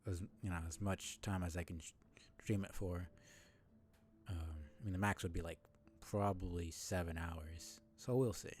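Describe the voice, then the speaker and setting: A man's voice: low voice, fairly even intonation, normal speed, a little mellow. A man livestreaming, chatting casually to his viewers in a rambling way as he thinks aloud, with music behind him. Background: music under the voice.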